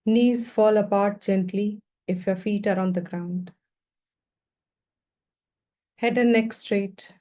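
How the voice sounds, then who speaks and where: Female meditation teacher: warm, dark-toned, mid-pitched voice, gentle unhurried instructions delivered in short phrases. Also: steady tone.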